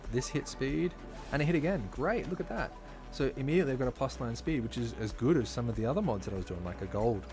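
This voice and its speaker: A man talking casually while live-streaming a game, slightly hoarse, chatty and full of fillers, from a home streaming setup. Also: mid-range voice, expressive, fast talker, few pauses. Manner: chatty, filler-heavy, talks while playing. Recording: clear background music under voice.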